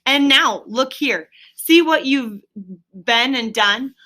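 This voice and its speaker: A woman's voice: high voice, slow, bright, forceful tone, voice pushed up, heavily acted. A woman voicing a cross, scolding but good-natured water rat in a storybook, with emphatic delivery.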